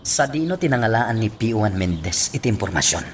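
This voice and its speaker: An actor playing a stern, threatening police chief in a radio drama, with dramatic, emphatic delivery. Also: male voice, on the low side, very animated, brisk, slight background noise. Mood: brooding, suspicious. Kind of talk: Ilocano radio drama acting. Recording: loud music bed behind the voice.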